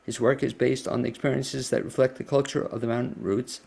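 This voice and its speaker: A man's voice: medium pitch, level tone, fairly quick, creaky, a little mellow. A man hosting a podcast, asking questions in a casual, conversational interview style.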